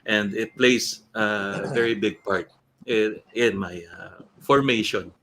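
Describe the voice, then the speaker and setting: A middle-aged man with a Filipino accent, co-hosting a casual online chat and speaking earnestly in halting phrases. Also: lowish voice, pushed and bright. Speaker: show host. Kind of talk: casual closing thanks in a group chat. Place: on an internet video call.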